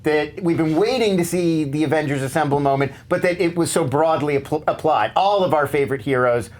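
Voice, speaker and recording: A man's voice: a little high, a little fast. A man giving his casual take as a commentator on a panel show.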